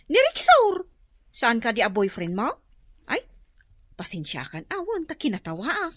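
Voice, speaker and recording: A woman's voice: fairly high pitch, wildly swooping pitch, moderate pace, fairly bright tone, no room sound, full character voice. A woman playing a giggly, gossipy young best friend in a comedic radio drama. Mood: gossipy, amused.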